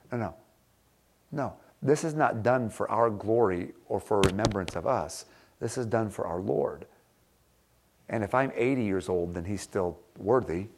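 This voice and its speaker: Earnest low-voiced preacher man. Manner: earnest, emphatic, repeats words for stress. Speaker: pastor. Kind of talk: sermon with closing prayer.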